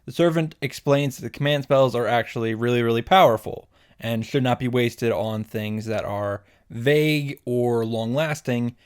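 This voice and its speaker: A man's voice: mid-range voice, clear, resonant tone. A man hosting a casual, scripted comedic recap, swearing freely and retelling things in an exaggerated, mocking way. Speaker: video host reacting to and summarizing a visual novel.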